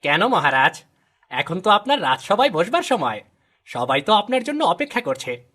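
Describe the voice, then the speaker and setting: A man's voice: high-pitched, very animated, normal speed, sharp tone, full character voice. A man voicing a loyal, deferential royal minister in a storybook cartoon, speaking formally and dutifully. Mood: questioning, respectful.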